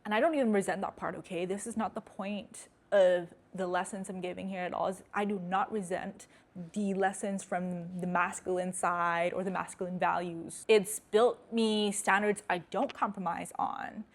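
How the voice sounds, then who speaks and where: A young woman with an American accent talks straight to camera in a casual vlog. She is direct and emphatic, candid without softening. Her voice sits at an ordinary middle pitch. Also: fairly even intonation, normal speed. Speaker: host. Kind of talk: casual talking-head vlog.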